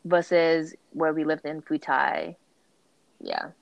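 A woman's voice: deep voice, steady tone, slow, gravelly, no echo, highs cut off. A young adult woman chatting casually, hedging often with "like" as she asks questions. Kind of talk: casual conversation.

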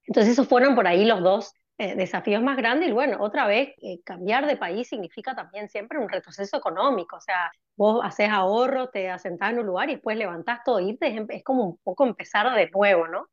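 A middle-aged woman from Argentina with an Argentine accent, chatting as an interview guest and pausing often on fillers and restarts. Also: mid-range voice, no echo, lo-fi sound. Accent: Argentine Spanish. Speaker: guest, cardiologist. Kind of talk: conversational interview answers.

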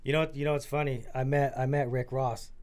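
A man from the Los Angeles area chatting casually on a podcast, telling relaxed stories and acting out the conversations in them. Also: mid-range voice, fairly quick, crowd noise. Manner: storytelling, relaxed, acts out quoted exchanges. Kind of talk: casual chat.

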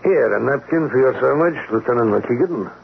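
An actor playing a shrewd, protective older immigrant shopkeeper uncle in a radio drama, polite and measured, with gravelly tone and non-native grammar. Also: male voice, mid-range voice, expressive. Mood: courteous, guarded. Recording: tinny band-limited radio, clear noise.

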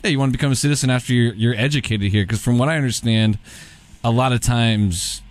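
Male host, relaxed, curious questions, mid-pitch voice. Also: fast talker. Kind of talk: casual interview chat.

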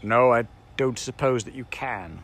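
A man's voice: mid-range voice, unhurried, a bit bright, slight background noise. A man voicing a grumpy, self-important magic sand creature in an audiobook, speaking in a cross, snappish way. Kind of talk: audiobook character voice.

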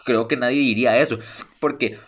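Young guy doing a solo sports podcast, mid pitch, gets fired up and repeats himself for emphasis. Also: fairly even intonation, a little fast, highs cut off. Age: young adult. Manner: eager and emphatic, repeats phrases for stress. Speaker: podcast host.

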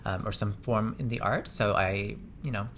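A man's voice: on the low side, steady tone, normal speed, vocal fry, a bit bright, a little hiss or hum. A man from Toronto with a Canadian accent, an interview guest casually recounting his personal history. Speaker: interview guest, fashion designer.

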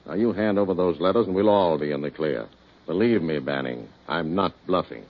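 An American actor playing a brazen, scheming crooked boss in an old-time radio crime drama, tough, smug and slangy. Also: male voice, sits low, lively intonation, a little fast. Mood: smug, threatening. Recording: muffled vintage radio broadcast recording.

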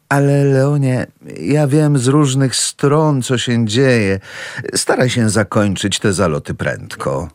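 Pushy middle-aged gentleman, slow and insistent, very lively pitch, dry studio sound, radio play, acted by a man. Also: no echo. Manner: insistent and brusque. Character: Podziemski, brisk, pushy middle-aged man collecting money.

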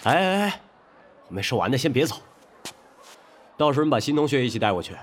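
A man plays a brisk high school teacher in an audio drama. He speaks quickly with varied intonation, instructive and matter-of-fact, over faint noise. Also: sing-song, close to an everyday voice. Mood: mildly scolding. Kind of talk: modern audio drama acting.

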